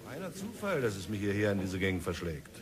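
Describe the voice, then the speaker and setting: A man's voice: on the low side, big pitch swings, fast talker, a crowd in the background. A man playing a persuasive company negotiator in a radio drama, jovial and coaxing.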